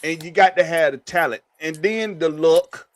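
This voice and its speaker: Man, slightly high voice, halting speech, casual sports chat. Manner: halting, searches for words.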